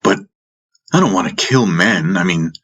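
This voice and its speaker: A man's voice: low-pitched, lively intonation, moderate pace, fairly bright tone. A man voicing a troubled, confused warrior, humble before his master, who speaks hesitantly and pleadingly in a fantasy audiobook. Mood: despondent.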